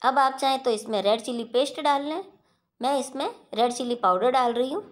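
A woman hosting a home cooking channel, talking viewers through a recipe step by step in a steady instructional voice-over. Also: high-pitched, a little fast.

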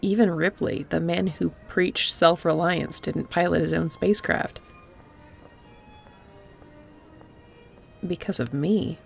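Woman acting a gravelly, wry female space captain. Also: on the low side, moderate pace, faint music behind. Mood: soft, probing. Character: guarded, wry female spaceship pilot captain, softening toward an old friend. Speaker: voice actor in audio roleplay. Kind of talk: intimate scripted audio roleplay.

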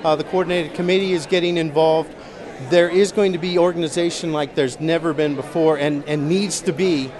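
A man's voice: a little high, fairly even intonation. A man in his forties from Missouri, a political candidate, answering interview questions earnestly with many restarts and uhs in a large convention hall. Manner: earnest, halting with repeated words and uhs. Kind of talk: on-the-spot interview. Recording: busy crowd noise behind. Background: crowd chatter.

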